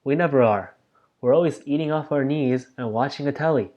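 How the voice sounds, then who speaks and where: A man's voice: mid-range voice, a little fast, warm tone, highs cut off, pitched above the performer's own voice, natural voice. A man voicing a polite but defiant five-year-old girl in a storybook reading. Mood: politely defiant. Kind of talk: storybook read aloud.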